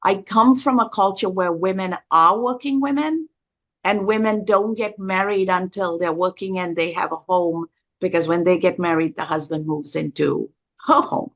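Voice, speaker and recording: A woman from Sri Lanka hosting a podcast, asking thoughtful, warm questions. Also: fairly low pitch, normal speed. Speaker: podcast host. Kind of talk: conversational interview.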